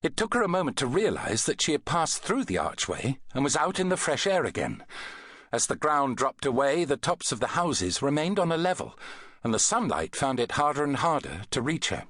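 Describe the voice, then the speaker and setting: Animated male audiobook narrator, dry studio sound. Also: on the high side, moderate pace, no echo. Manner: expressive, dramatic storytelling. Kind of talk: dramatic scripted narration of an adventure novel.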